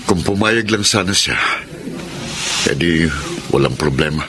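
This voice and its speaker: A man's voice: low voice, animated, unhurried, crowd noise. A man acting a desperate, reckless father and security chief in a Filipino radio drama, intense and insistent. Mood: sorrowful, resolute.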